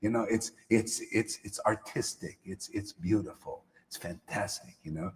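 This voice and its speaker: A man giving a lively spiritual talk to a live audience, slangy and repetitive, often calling listeners "man". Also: fairly low pitch, moderate pace, big-room sound, slight background noise. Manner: animated, slangy, repeats phrases, calls listeners "man". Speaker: spiritual teacher. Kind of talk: casual live-stream talk.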